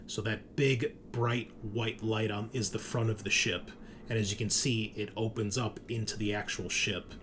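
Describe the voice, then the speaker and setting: A man's voice: fairly low pitch, steady tone, moderate pace, background noise. A man narrating a tabletop roleplaying game as game master, explaining things conversationally and addressing his players directly.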